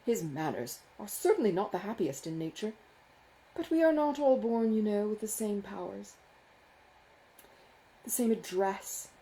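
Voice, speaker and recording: A woman voicing a foppish, self-satisfied young gentleman in a classic novel, with an affected, gushing, condescending manner. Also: mid-range voice, expressive, a little slow, pauses often, low-quality recording. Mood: mock concern. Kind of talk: dramatised audiobook dialogue from a classic novel.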